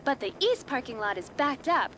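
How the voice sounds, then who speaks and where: A woman voicing an excitable, chirpy puppet traffic reporter in a comic radio skit. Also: high-pitched, normal speed. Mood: breezy reporting.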